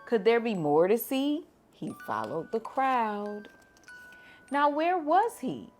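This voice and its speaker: A woman reading a children's picture book aloud to kids in a gentle, expressive storytime manner. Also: medium pitch. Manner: gentle, expressive, lively on exclamations. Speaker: storytime reader.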